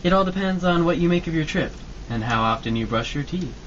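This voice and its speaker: A man's voice: a little high, animated, a little fast, a bit bright. A man reading scripted narration for an old-time radio drama parody. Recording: distant, muffled, noisy live amateur recording.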